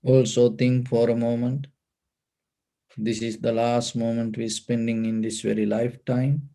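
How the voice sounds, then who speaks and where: A man leads a guided meditation as a Buddhist teacher, giving calm, deliberate instructions in short phrases. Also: medium pitch, gravelly.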